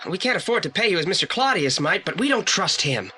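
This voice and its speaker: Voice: medium pitch, sing-song, fast talker, bright, forceful tone, a little hiss or hum. An actor playing an articulate, decisive teenage boy detective in an audio play, speaking politely and with confidence. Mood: confident, inquisitive. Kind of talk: 1980s audio play acting.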